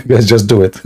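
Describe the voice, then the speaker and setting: A man hosting a live debate stream in a scoffing, jokey way, laughing often and clearing a sore throat. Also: medium pitch, dark tone. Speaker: livestream debate host. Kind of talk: mocking live debate chat.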